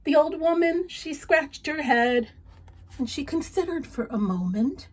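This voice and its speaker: A woman reading a children's story aloud, gentle and expressive, chatting to her young listeners as she goes. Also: sits high, measured pace, rough recording.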